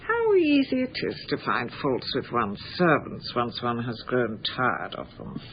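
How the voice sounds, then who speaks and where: A woman's voice: extremely low pitch, huge pitch swings, a little slow, full character voice. An actress playing an imperious, waspish older lady of wealth in a radio drama, haughty and cutting. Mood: haughty, weary. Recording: dull lo-fi radio recording, faint background noise.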